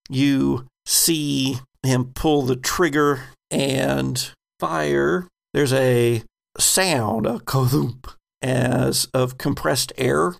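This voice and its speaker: An American man acting as game master, narrating a horror tabletop game in a suspenseful, deliberate way. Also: medium pitch, gravelly.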